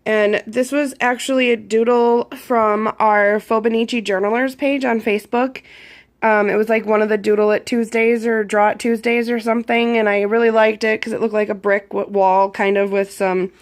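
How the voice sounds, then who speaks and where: A hoarse woman, around her thirties, chatting casually with a lot of ums while showing her journal pages. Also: fairly high pitch, flat delivery, moderate pace. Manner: rambling, chatty, with frequent ums. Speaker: YouTube vlogger. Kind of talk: casual journal flip-through.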